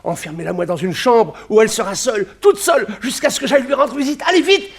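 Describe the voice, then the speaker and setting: A high-pitched, lovestruck mad doctor from a sixties French radio serial. He is oily and courtly, presses insistently and gets easily excited. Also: fast. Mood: excited, commanding. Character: smitten, unhinged asylum doctor, oily and insistent.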